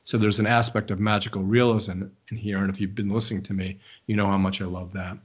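Older male host, low voice, meandering questions, lo-fi dull audio. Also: level tone, fast talker, breathy edge. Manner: rambling, musing questions with many restarts. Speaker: bookstore radio host interviewing an author. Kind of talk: conversational interview. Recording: dull, lo-fi sound.

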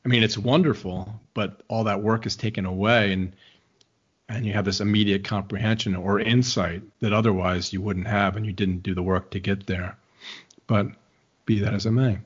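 Older male host, low voice, meandering questions, lo-fi dull audio. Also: moderate pace, slightly rough. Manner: rambling, musing questions with many restarts. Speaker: bookstore radio host interviewing an author. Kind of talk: conversational interview. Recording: dull, lo-fi sound.

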